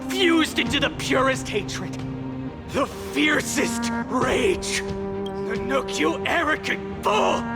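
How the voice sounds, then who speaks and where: An actor playing a vengeful, tormented warrior who has endured countless cycles, declaiming in a grand, defiant video game style. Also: male voice, very high-pitched, gravelly, full character voice. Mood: seething, then blazing fury. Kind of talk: dramatic video game voice acting. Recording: loud music behind the voice.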